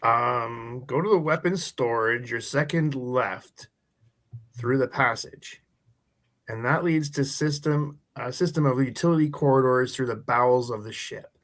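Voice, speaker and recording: A man's voice: medium pitch, measured pace, fairly bright tone. Man playing an earnest nerdy teenage superfan. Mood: eager, urgent. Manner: stammering, earnest, formal nerdy phrasing. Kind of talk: live radio play read.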